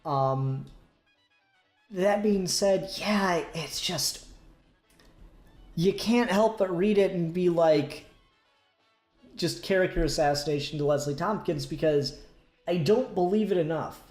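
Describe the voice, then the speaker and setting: A man hosting a casual review discussion, speaking haltingly and searching for words as he works through his points. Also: sits high, darkish tone. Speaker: podcast host discussing comics. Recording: roomy echo, faint music behind.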